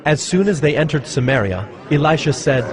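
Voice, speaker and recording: A man narrating scripture as a scripted story in a solemn, measured manner. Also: fairly high pitch, expressive, crowd noise. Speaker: Bible narrator.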